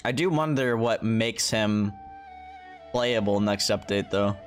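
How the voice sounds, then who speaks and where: A man's voice: lowish voice, takes it slowly. A man livestreaming a video game, giving casual, offhand commentary and reading dialogue aloud. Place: indoors, streaming room. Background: game music.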